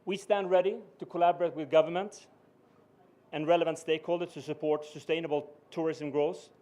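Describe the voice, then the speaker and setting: A middle-aged man with a Swedish accent gives a measured, formal speech. His voice sits a little high, and he pauses often on "uh" between phrases. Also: fairly even intonation, dark tone. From Sweden. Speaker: business executive giving a speech. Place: ceremony venue.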